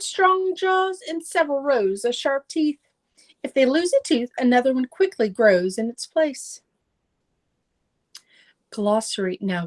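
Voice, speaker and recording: A middle-aged woman reading a children's nonfiction book aloud, carefully, with simple explanatory asides for young listeners. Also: on the high side. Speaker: children's read-aloud presenter.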